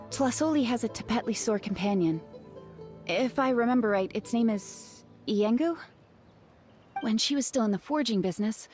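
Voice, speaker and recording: A woman voicing an energetic young woman who guides others and calls out urgently. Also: medium pitch, natural voice. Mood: puzzled. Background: game music.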